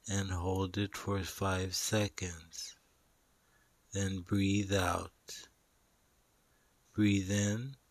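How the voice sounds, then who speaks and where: Low-voiced man guiding a slow meditation. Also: a little mellow, no room sound. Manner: soothing, instructional, pausing between short phrases. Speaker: guided meditation narrator. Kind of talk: guided meditation.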